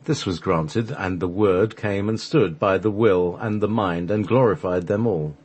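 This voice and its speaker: A man reading scripted radio play narration in measured, solemn phrases, with a husky voice. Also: low voice, darkish tone. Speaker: radio show host and narrator.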